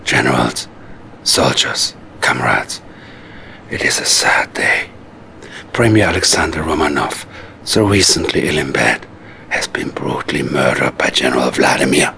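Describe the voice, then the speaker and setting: An actor playing a coldly calm, scheming Soviet psychic advisor in a video game cutscene, with a Russian accent and measured, condescending menace. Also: male voice, very deep voice, gravelly, slight background noise, full character voice. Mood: solemn, cold.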